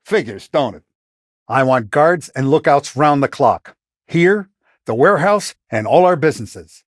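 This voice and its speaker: A commanding Italian-American mafia boss from a 1930s gangster video game. His middle-aged male voice moves widely up and down in pitch, recorded dry and close. He talks in blunt street slang, with authority and a vengeful edge. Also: animated, a little slow, dry recording. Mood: stern, pressing.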